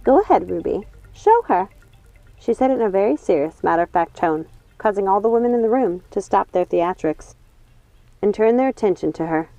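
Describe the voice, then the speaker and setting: A woman reading a story aloud as an audiobook host, in an expressive narration style. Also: medium pitch, brisk, no room sound, dull audio. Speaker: audiobook reader and channel host. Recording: music behind the voice. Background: background music.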